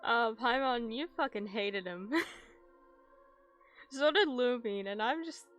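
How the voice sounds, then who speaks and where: A woman livestreamer reacting casually to a game, speaking in a halting, emotional way. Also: a little high, fairly bright tone, dull audio. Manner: halting, emotional, swears freely. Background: game music.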